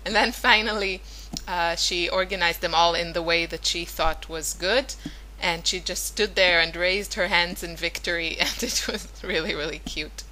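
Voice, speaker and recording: A woman's voice: fairly low pitch, fairly even intonation, moderate pace, pushed and bright, low-quality recording. A woman whose first language is Hebrew, chatting loosely through a scrapbooking voice-over, full of fillers and self-corrections. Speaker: scrapbooking vlogger. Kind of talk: casual voice-over narration of a craft process.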